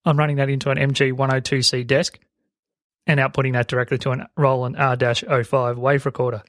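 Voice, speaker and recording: A man's voice: mid-range voice, little pitch movement, a little fast, fairly bright tone, dry recording. An Australian man with very sibilant speech, explaining things as a podcast host into a close broadcast microphone. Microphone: close broadcast dynamic microphone.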